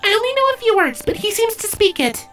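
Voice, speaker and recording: Guy putting on a super high squeaky young girl creature, earnest n brave, swoops around, music behind. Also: sing-song, quick pace, sharp tone, full character voice. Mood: earnest, pleading. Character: young female changeling, earnest, brave, pleading.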